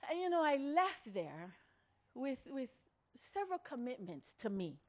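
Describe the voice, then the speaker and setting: A middle-aged or older woman, giving a motivational storytelling speech in an earnest, deliberate way with dramatic pauses. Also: high voice, sing-song. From Hawaii. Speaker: speaker at a women's conference.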